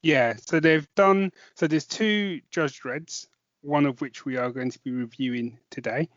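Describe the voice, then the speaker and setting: A man's voice: a little high, a little slow, a bit bright, no room sound, dull audio. A middle-aged British man co-hosting a podcast review in an informal, rambling, conversational way. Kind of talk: casual chat podcast review.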